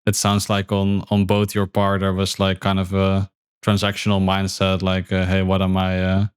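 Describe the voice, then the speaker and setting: Guy hosting a podcast, deep smooth voice, lots of ums and uhs. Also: completely flat delivery, moderate pace, no echo, clean studio sound. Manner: thoughtful, many fillers and repeated words. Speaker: podcast host. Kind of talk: casual conversation.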